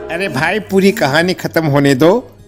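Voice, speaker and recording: A man's voice: fairly high pitch, measured pace, music in the background, close to an everyday voice. A man playing an irritable, creepy ghost pallbearer in a horror story. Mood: scolding. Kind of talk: dramatised horror story dialogue.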